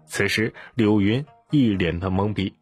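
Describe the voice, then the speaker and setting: A man's voice: lowish voice, unhurried, husky, soft background music. A man reading an audiobook as narrator in a scripted, dramatic storytelling style.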